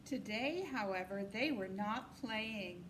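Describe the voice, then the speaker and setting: A woman reads a children's novel aloud as an expressive storyteller. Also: mid-range voice, slow pace, a little hiss or hum. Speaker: storybook reader.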